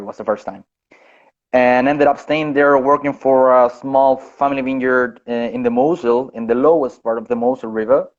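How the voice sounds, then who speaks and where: A man born in Chile, a guest winemaker speaking over a video call, unhurried and often restarting or correcting himself. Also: mid-range voice. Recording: dull lo-fi video call audio.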